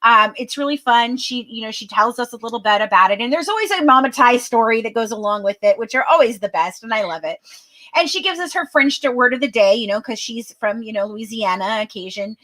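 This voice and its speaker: A woman co-hosting a live podcast stream, chatting excitedly with plenty of fillers and restarts. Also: a little high, brisk. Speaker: podcast co-host. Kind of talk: casual live chat.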